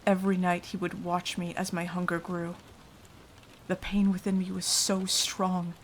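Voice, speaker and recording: A woman playing a haunted, remorseful vampire in an ASMR roleplay, confiding in a hushed, halting, dramatic voice that often drops to a whisper. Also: on the low side, little pitch movement, natural voice, poor audio. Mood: pained, bitter. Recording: light rain noise behind voice. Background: rain.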